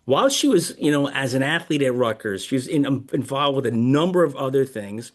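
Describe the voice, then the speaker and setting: A man hosting an interview podcast, speaking informally and conversationally, with halting repeats of words. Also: mid-range voice, animated. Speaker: podcast host.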